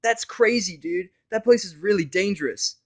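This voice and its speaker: A man voicing a laid-back, caring young female pony with slangy, animated delivery in a fan fiction audio story. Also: high-pitched, normal speed, slightly rough, pitched above the performer's own voice. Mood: shocked.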